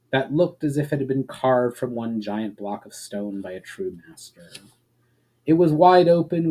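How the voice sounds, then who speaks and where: A man giving a dramatic reading of a fantasy story, with measured, expressive narration in the manner of an audiobook. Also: mid-range voice, rough recording. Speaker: author reading own story.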